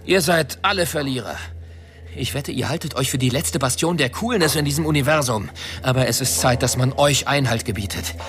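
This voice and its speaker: An actor playing a rebellious, sardonic earthling with a mission in a science fiction radio drama, speaking in a smug, theatrical manner. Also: male voice, mid-range voice, fairly quick, fairly bright tone, natural voice. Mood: triumphant, mocking.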